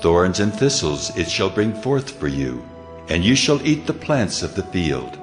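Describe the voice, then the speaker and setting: Brief: male actor as God, very low and stern, grave measured delivery, faint music under it. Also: very animated. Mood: judging. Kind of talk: audio drama acting.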